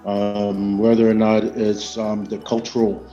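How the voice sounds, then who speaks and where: Dark-voiced American man, muffled and echoey. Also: lowish voice, completely flat delivery, soft background music. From United States. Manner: hesitant, measured, frequent filled pauses. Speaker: panelist from industry. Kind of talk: panel discussion answer. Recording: muffled, echoey online audio.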